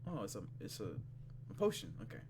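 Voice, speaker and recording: Expressive low-pitched man, swinging lively while gaming. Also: fast, slight background noise. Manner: casual, expressive, swearing. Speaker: let's play host. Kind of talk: gaming commentary, reading visual novel text aloud.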